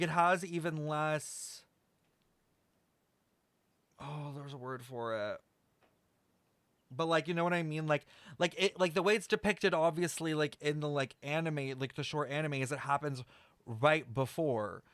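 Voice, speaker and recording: A man's voice: fairly high pitch, unhurried, pauses often, a bit bright, no room sound. A man giving casual game stream commentary, rambling as he thinks aloud and swears freely.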